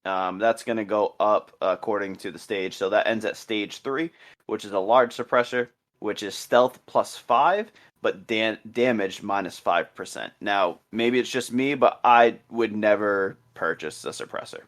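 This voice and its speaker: A man's voice: on the low side, unhurried, a bit bright. An easygoing man co-hosting a casual podcast, agreeable and talking through numbers step by step. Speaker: podcast co-host. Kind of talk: casual podcast chat about a game.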